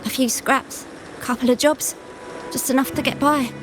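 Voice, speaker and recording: Woman playing a high-voiced, timid street girl. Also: steady tone, moderate pace, background noise, lightly acted. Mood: bitter, hurt. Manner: hesitant, earnest, pleading. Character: timid, desperate young vagrant girl from a gang slum. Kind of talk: video game voice acting. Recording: studio voice under game music.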